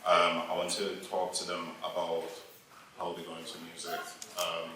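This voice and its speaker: A man's voice: low voice, monotone, normal speed, noisy background. A young man hosting a panel, upbeat and encouraging, with frequent ums. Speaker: panel host. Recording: echoey hall, mic far off.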